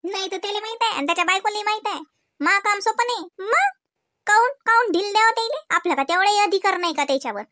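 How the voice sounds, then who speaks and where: A woman's voice: extremely high pitch, fast talker, bright, forceful tone, no room sound, studio quality. A woman playing a gossipy, complaining cartoon village housewife who chatters colloquially in a Varhadi Marathi accent. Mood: boastful, chatty. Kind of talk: comic cartoon village dialogue.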